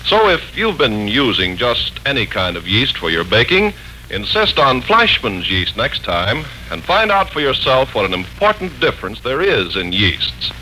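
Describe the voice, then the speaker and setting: An American man announcing and narrating from a script in polished, deliberate 1940s radio style. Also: medium pitch, big pitch swings, pushed and bright, slight background noise, poor audio.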